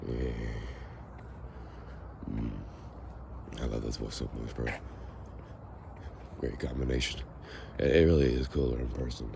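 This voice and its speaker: A young man with a raspy, hoarse voice, rambling to the camera as he walks outdoors in a casual vlog. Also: very deep voice. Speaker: vlogger. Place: outdoors, walking on a foggy street. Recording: noisy outdoor recording with passing traffic.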